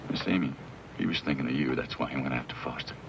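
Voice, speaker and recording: A deep-voiced, folksy frontier hand. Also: male voice, fast, vocal fry, background noise. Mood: consoling, wry. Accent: American. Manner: rustic, folksy, plain-spoken. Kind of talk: western radio drama acting. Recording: muffled lo-fi radio recording with music.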